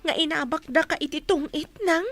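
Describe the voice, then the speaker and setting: A woman's voice: sits high, fairly even intonation, a little fast, fairly bright tone. A woman with a northern Philippine accent playing a reproachful, hard-working eldest daughter in a comedy radio drama. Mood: indignant. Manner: questioning, reproachful.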